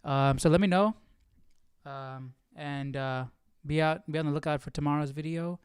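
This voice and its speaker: A man's voice: fairly high pitch, steady tone, moderate pace, clear, resonant tone, no echo, clean studio sound. A man hosting a livestream, chatting casually and thinking aloud in a rambling way. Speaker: livestream host of a Bible study series.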